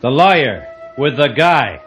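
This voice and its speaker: An obedient gangland henchman in a vintage radio play. His rough-guy voice sits mid-range with lively pitch, music clearly behind. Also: a little slow. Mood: businesslike. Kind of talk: 1950s radio drama acting.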